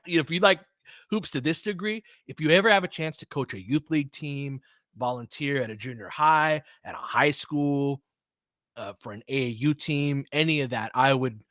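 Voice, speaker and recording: A man's voice: fairly high pitch, a bit bright, no room sound. American man, dry-sounding casual basketball commentary. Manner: conversational, analytical, explains tactics. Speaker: basketball commentator and host of a live stream. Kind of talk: casual live play-by-play commentary with chat.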